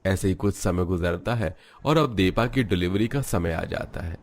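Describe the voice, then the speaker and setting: Narrator for animated family drama: male, deep register, scripted storytelling delivery. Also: normal speed.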